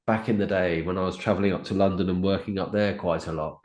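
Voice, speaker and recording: A man's voice: sits low, fairly even intonation, fast, a little mellow. A man from the UK hosting an interview, asking friendly questions and repeating himself as he asks. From United Kingdom. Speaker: podcast host. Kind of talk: casual interview conversation. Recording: roomy echo.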